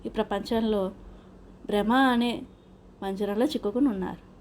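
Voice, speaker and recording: A wise, knowing guru from a children's storybook, voiced by a woman teaches and gently chides. The voice is mellow and smooth in tone rather than sharp, over faint noise. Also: medium pitch, a little slow, lightly acted. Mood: knowing, admonishing. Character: wise male religious guru, knowing and admonishing.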